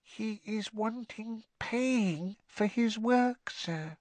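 A man voicing a tiny, timid female house elf servant with a quivering squeak and deferential, broken grammar. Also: moderate pace, bright, forceful tone, no echo. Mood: whispered. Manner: broken grammar, deferential, constantly says sir.

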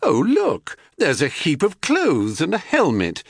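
A man's voice: fairly high pitch, wildly swooping pitch, a little slow, creaky, slightly rough, no echo, studio quality, pitched above the performer's own voice, full character voice. A man voicing a mischievous, cynical moon goddess in an audiobook myth, taunting and breezy as she goads. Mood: teasing, scheming.

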